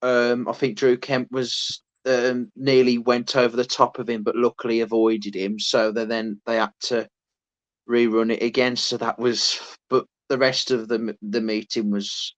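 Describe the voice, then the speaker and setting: Flat-voiced rambling man. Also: mid-range voice, lo-fi sound. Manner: rambling, many restarts, unhurried. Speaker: podcast co-host. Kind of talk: casual chat.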